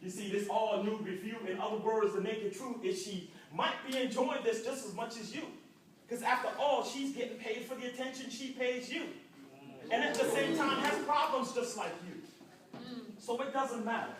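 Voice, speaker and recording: A man's voice: very high-pitched, moderate pace, a bit bright, a little hiss or hum. A young man from Jamaica performing rhythmic, rhyming spoken word poetry at an arts center. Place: indoor arts center hall. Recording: echoey room from far off.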